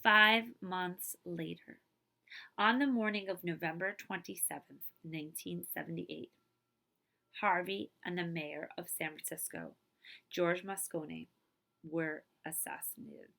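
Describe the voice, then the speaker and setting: Woman reading aloud with a creaky voice. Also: on the low side. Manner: expressive, emphatic phrasing with deliberate pauses. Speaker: librarian reading a picture book aloud. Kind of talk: children's read-aloud storytelling with casual asides.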